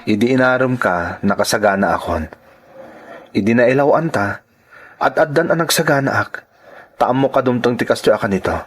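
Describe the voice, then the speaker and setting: A man's voice: medium pitch, normal speed, long pauses, natural voice. A man with an Ilocano accent playing an earnest, insistent young boyfriend in an audio drama. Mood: reassuring.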